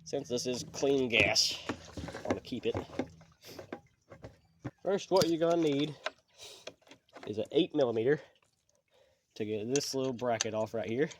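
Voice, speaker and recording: A man's voice: medium pitch, measured pace, pauses often, background noise. A man hosting his channel, walking through a repair step by step in a plainspoken, folksy way, sounding sniffly from allergies. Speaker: channel host. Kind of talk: casual how-to repair walkthrough.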